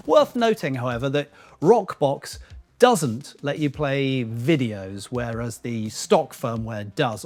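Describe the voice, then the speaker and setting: A man narrating a scripted tech video in a casual, wry, tongue-in-cheek way with dry asides. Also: fairly high pitch. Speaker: video creator and host. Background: music bed.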